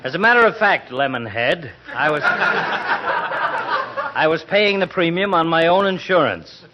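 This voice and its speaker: A man's voice: on the high side, very animated, a little slow, dull audio. A man playing a pompous, sardonic doctor, wordy and mock-formal, in a vintage radio comedy. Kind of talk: 1940s radio comedy acting. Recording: noisy band-limited vintage radio broadcast.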